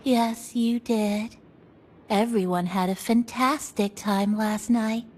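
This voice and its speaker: A woman voicing a kindly, gently teasing cafe attendant in a video game, with music playing behind her. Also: on the high side, steady tone, slow pace, slight background noise. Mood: gentle. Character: playful, kindly female cafe attendant addressing a passenger. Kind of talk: video game character dialogue. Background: game background music.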